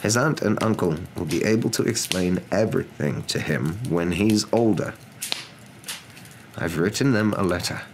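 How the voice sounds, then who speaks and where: A man playing a wise old wizard, calm, firm and measured, in a storybook reading. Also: low-pitched, slight background noise, low-quality recording.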